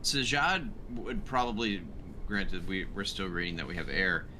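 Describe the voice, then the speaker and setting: A man's voice: low-pitched, moderate pace, sharp tone, slight background noise, low-quality recording. A man chatting casually as a player in a tabletop roleplaying game.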